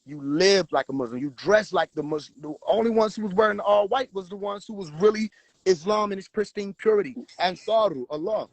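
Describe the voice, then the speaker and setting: A man hosting a livestream, talking forcefully and preaching directly at his viewers. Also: high-pitched, a little fast, no room sound, poor audio. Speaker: livestream host. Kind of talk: casual livestream talk.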